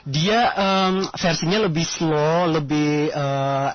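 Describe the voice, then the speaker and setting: A man interviews a guest for radio in a casual, chatty style. His voice sits fairly high and he speaks colloquially, with gushing interjections like a fan. The audio is dull and lo-fi. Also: takes it slowly, slight background noise.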